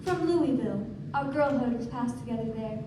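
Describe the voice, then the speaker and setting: Dreamy, theatrical young society wife acted by a woman, high-pitched; radio drama on a noisy, echoing stage. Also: brisk, recorded from a distance, natural voice. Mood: playful. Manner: gushing, theatrical. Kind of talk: live radio play acting. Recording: echoey stage recording from afar, noisy.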